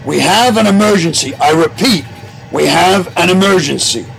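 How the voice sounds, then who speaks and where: A man's voice: sits high, moderate pace, creaky, over music, poor audio. A man playing a loyal, capable investigator sidekick in old-time radio drama, earnest and urgent.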